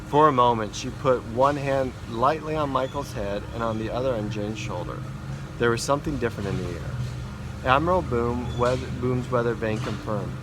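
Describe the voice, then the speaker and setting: A man reads a children's storybook aloud in an expressive way, stumbling now and then, outdoors in a backyard. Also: medium pitch. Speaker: school principal reading a story. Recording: noisy outdoor backyard recording. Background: neighbourhood ambience, mowers, dogs, kids.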